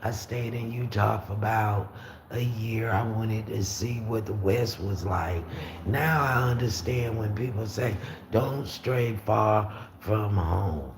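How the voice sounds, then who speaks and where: Raspy low-voiced man in an echoey room. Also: slow. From Florida. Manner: rambling, easygoing, frequent fillers and restarts. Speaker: livestream host. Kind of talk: casual live chat, reading out viewer comments. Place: room at home with the windows open. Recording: roomy echo with faint street noise.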